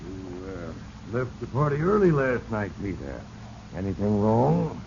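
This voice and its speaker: An actor playing a smug company boss, condescending and quietly threatening, in a 1940s radio drama. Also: male voice, lowish voice, big pitch swings. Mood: casual, guarded. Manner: condescending, measured. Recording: muffled, noisy 1940s radio recording.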